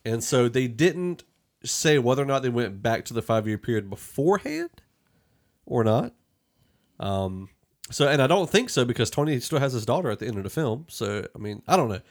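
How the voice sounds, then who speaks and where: A man's voice: medium pitch, big pitch swings, moderate pace. A man hosting a podcast, talking through a film review in a rambling, conversational way. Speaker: podcast host. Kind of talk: casual movie review discussion.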